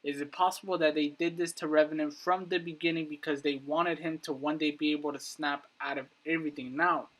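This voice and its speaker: A man's voice: fairly high pitch, fairly even intonation, fairly quick, few pauses, fairly bright tone. A man giving casual, emphatic commentary on video game lore, addressing his viewers directly. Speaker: YouTube gaming content creator.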